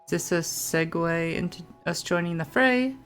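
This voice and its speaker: A woman's voice: fairly low pitch, normal speed, clear, resonant tone. A woman gaming streamer giving casual, teasing reaction commentary as she plays. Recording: faint game music behind.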